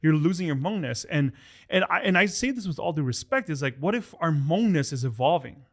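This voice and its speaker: A man's voice: fairly high pitch, animated, fairly quick. A man hosting a podcast, chatty and joking in casual conversation, often repeating words as he goes. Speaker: podcast host.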